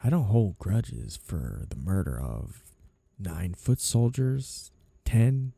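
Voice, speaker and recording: A man playing a flirty, two-faced mafia boss in an audio roleplay, menacing and obsessively in love, smooth-talking and teasingly polite as he threatens. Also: lowish voice, big pitch swings, slow pace, mellow, dry recording, heavily acted. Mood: calmly menacing. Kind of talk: audio roleplay ASMR acting.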